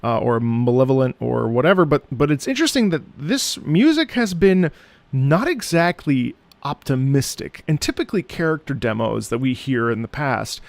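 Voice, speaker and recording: A man who is an opera singer giving reaction commentary on game music, halting and thoughtful as he searches for words. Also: mid-range voice, expressive, creaky, no room sound. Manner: breaks into sung demonstrations of musical phrases.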